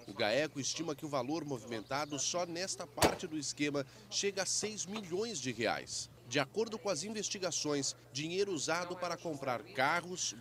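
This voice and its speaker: A man with a Brazilian Portuguese accent reading a news report voice-over in a formal newsreader tone. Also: a little high.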